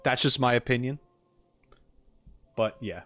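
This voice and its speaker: A man's voice: medium pitch, unhurried, fairly bright tone, soft background music. A man chatting casually on a livestream as he reacts to game clips, opinionated and jokey, speaking into a close microphone.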